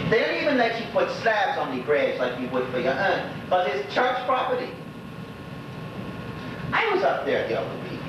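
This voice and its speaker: Echoey, distant man acting an old Bermudian widower. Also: on the high side. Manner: rambling, folksy, gossipy storytelling. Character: lonely old Bermudian widower, chatty, wistful neighbourhood storyteller. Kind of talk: stage monologue in conversational dialect. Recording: echoey stage recording from afar, noisy, dull.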